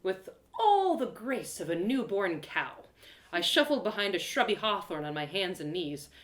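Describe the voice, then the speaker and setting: Audio drama lead: excitable young adventuress voiced by a woman, self-mocking storyteller, very animated intonation, roomy live reading. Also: mid-range voice, normal speed, natural voice. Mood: suspenseful. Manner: theatrical, breathless exclamations, gasps, self-deprecating humour. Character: excitable young heroine narrating her woodland adventure.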